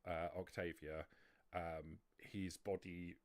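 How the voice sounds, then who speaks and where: A man narrating a tabletop roleplaying game as game master, improvising in a halting way. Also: sits low, level tone, dry recording.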